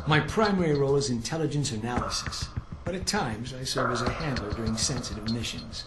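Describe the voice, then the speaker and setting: A man's voice: mid-range voice, a little fast, natural voice. Dry, condescending analyst played by a man, roomy echo. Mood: measured. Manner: dry, clipped, formal, condescending. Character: curt, condescending male intelligence analyst, coldly precise. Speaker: intelligence analyst and mission handler. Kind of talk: video game voice acting. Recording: roomy echo, loud game music behind.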